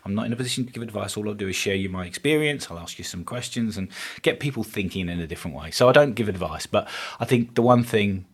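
A man's voice: lowish voice, animated, fast, slightly rough. A middle-aged man from England, a guest in a casual interview, talking earnestly and often repeating words as he gathers his thoughts. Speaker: podcast guest, business consultant.

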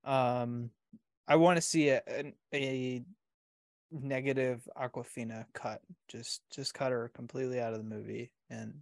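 A man's voice: mid-range voice, fairly even intonation, a little slow, gravelly, no echo. A man co-hosting a casual podcast, easygoing and teasing, laughing often and hedging with fillers.

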